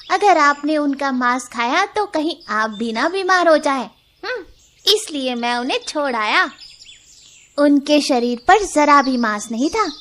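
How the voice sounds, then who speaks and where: Cartoon wolf, played by a woman: cowardly schemer, wheedling, very high voice, faint birdsong behind. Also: slight background noise. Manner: wheedling, excuse-making. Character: cowardly, sly wolf making excuses to a lion. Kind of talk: animal moral-story cartoon.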